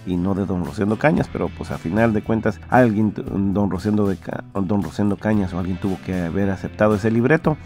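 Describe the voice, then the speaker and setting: Low-voiced casual male podcast host. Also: a little fast, hardly any pauses, dark tone, faint music behind. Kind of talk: casual chat to listeners.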